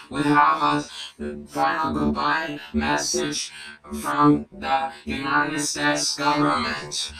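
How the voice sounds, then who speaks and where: A man delivering an amateur scripted emergency broadcast in a solemn, halting manner. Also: fairly high pitch, gravelly. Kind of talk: amateur scripted doomsday announcement. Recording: roomy echo, loud background music.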